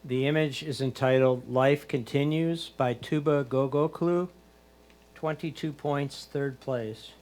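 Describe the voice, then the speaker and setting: A man of medium pitch acts as the score announcer for a competition. He reads out titles, names and scores, following the same formula each time. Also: takes it slowly, darkish tone.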